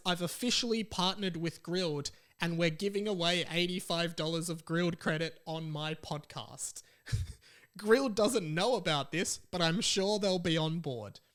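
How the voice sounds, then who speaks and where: A man's voice: sits high, fairly bright tone. Playful Australian man hosting a podcast. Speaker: podcast host. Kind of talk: casual solo podcast chat.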